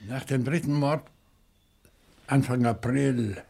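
An actor playing a grave, probing newcomer under suspicion in a radio crime play, speaking deliberately and with formal politeness. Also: male voice, mid-range voice, fairly even intonation, creaky, darkish tone. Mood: cautious, grave.

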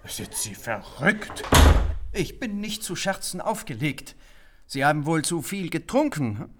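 An actor in a German radio play playing an indignant, dismissive bourgeois gentleman. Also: fairly high pitch, very animated, a little slow, very noisy.

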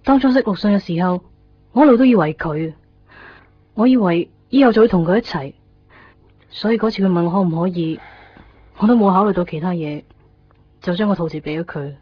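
A woman's voice: on the low side, a little fast, long pauses, a little hiss or hum, close to an everyday voice. Woman acting a wistful young woman, slightly muffled recording. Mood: confiding, anxious. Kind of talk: Cantonese radio drama narration and dialogue. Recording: dull lo-fi radio recording, music behind.

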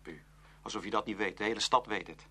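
A man acting an awkward, easily embarrassed teenage boy in a radio play, husky and hesitant. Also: fairly low pitch, quick pace, bright, forceful tone, full character voice. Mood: embarrassed, upset.

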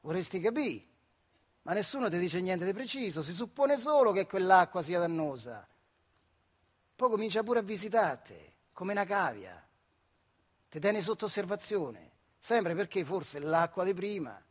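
An actor playing a bitter, weary retired factory worker in a theatrical monologue, with a central Italian accent from the Marche, swinging between muttering and outbursts. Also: male voice, sits high, very animated, normal speed, slightly rough, full character voice. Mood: brooding, confiding. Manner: colloquial, rhetorical questions, swings from muttering to outbursts. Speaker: stage actor. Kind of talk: theatrical monologue in dialect-tinged speech.

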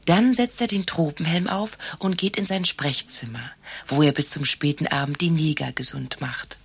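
Young country girl, dreamy, naive, husky, lowish voice, radio play. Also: normal speed. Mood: earnest, wistful. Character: naive, dreamy young country woman, aspiring medical assistant. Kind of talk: satirical radio play, diary-like narration.

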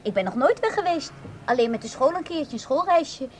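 A woman's voice: sits high, animated, brisk. An actress playing a timid, unworldly woman in a radio drama, hesitant and apologetic as she speaks. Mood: shy, hopeful. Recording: audibly noisy radio play recording.

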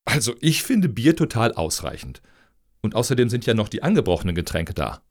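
Man as wry, animated applicant. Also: lowish voice, brisk. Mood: matter-of-fact. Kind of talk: satirical radio play dialogue.

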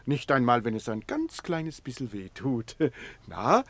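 A man's voice: mid-range voice, huge pitch swings, unhurried, fairly bright tone, dry recording, heavily acted. An actor in a radio play playing a meek, tender-hearted man who coos silly rhyming baby talk to a lame lion. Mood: soothing, coaxing. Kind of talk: theatrical radio play acting.

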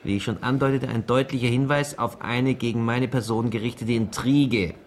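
A man's voice: medium pitch, steady tone, normal speed, close to an everyday voice. A man playing a pompous, embarrassed police chief in a radio crime comedy, speaking formally and evasively. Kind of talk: German radio play dialogue.

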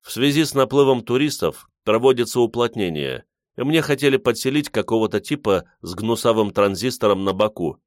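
A man's voice: medium pitch, fairly quick, few pauses, no echo, studio quality, voice pushed up, lightly acted. A man voicing a courteous, self-assured eccentric inventor in his sixties, speaking politely and formally in an audiobook. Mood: fussy, indignant. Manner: polite, calm, weighty formal speech. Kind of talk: audiobook character dialogue.

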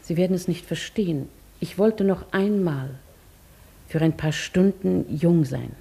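An aged widow of a radio play, proud in her poverty and full of wistfulness. Her mellow voice is husky and crackles with fry. She chooses her words formally and with measured care. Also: lowish voice, full character voice. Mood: wistful confession. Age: older. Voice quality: husky, creaky. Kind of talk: German radio drama acting.

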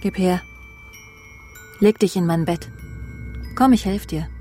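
A woman's voice: fairly low pitch, takes it slowly, mellow, faint music behind. An actress playing a cold, scheming friend in a radio crime drama, sardonic and matter-of-fact as she speaks and narrates. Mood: impatient. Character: cold, scheming woman, spiteful friend, narrating her own deeds.

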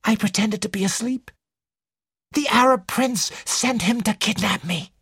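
A woman's voice: a little high, unhurried, fairly bright tone, slightly rough, dry recording, studio quality, pitched above the performer's own voice, full character voice. A woman narrator voicing an intense, fearful and proud young woman in an audiobook. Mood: fearful, secretive. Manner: intense, indignant, secretive. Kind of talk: audiobook character dialogue.

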